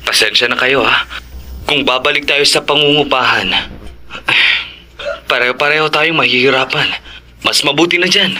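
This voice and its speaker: An actor playing a calm, reassuring husband and father in an audio horror drama. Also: mid-range voice, normal speed, pauses often, a little hiss or hum.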